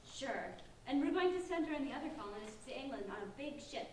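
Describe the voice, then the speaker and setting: A woman's voice: high-pitched, expressive, fast talker, darkish tone. A woman playing an earnest, determined sixteen-year-old girl who leads her friends in a staged play reading. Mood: earnest, firm. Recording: distant echoey room, faint noise.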